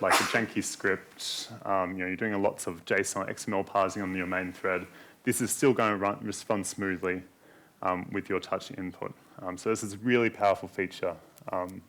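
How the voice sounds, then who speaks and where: Low-voiced man giving a technical talk. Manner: explanatory, steady walkthrough of code and demos. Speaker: conference speaker. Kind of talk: technical conference talk walking through demos.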